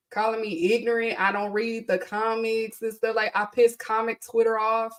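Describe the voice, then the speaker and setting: Chatty woman podcast host, stuffy-voiced. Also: a little high. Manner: chatty, rambling, frequent ums and asides. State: congested from allergies. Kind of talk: casual solo podcast chat.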